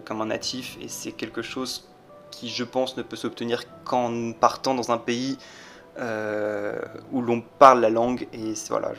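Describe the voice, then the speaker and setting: A young man from France, an exchange student, talks about his time abroad in a flat, even voice. He is measured and hesitant, with a wry touch. Faint music runs underneath. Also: medium pitch. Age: young adult. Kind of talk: casual testimonial.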